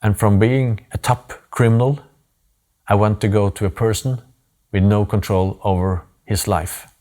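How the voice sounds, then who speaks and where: A man in his forties from Norway with a Norwegian accent, giving an earnest, deliberate personal story talk with pauses for emphasis. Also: on the low side, fairly even intonation, mellow.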